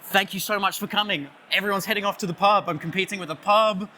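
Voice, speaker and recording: A man with an Australian accent giving a playful conference talk, loudly joking and chatting with the audience. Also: sits high, fast talker, slight background noise. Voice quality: loud voice. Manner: jokey, playful, chatty with the crowd. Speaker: conference speaker. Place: conference stage, audience on headphones.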